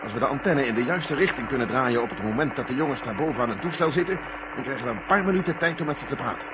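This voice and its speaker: A man's voice: mid-range voice, animated, fast talker, lightly acted. Man playing a blunt general, dull noisy recording. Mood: tense, candid. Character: gruff, candid older male general heading space mission. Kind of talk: 1970 Dutch radio drama acting.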